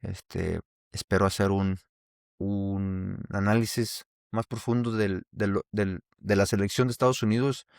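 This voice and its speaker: Deep-voiced Mexican man, rambling. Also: steady tone, a little slow, gravelly, no echo, studio quality. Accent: Mexican Spanish. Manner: rambling, with many restarts and fillers. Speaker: podcast host. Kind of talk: casual solo opinion podcast.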